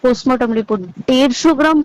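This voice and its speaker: Bengali woman from kolkata side talking on a phone call, high voice, dry sound, really emphatic and chatty. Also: racing, darkish tone, no echo. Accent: Bengali, West Bengal. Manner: emphatic, repeatedly checking the listener understands. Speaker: hospital staff member recounting events. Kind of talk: casual phone conversation.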